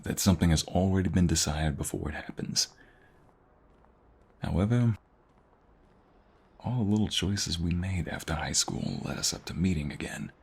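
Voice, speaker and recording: A man playing an affectionate, teasing young husband in an intimate ASMR roleplay, speaking tenderly in a hushed, husky, gravelly voice. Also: low-pitched, animated, normal speed, pauses often, heavily acted. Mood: sincere, reflective. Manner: tender, teasing, hushed, lingering delivery with sighs and soft chuckles.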